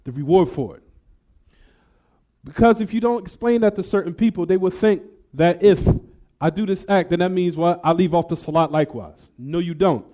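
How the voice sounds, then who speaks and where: A man's voice: high voice, moderate pace, warm tone. A male religious teacher explaining a text to a class in casual, conversational English mixed with Arabic terms, often asking the class "what?" and checking that everyone follows. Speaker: Islamic teacher.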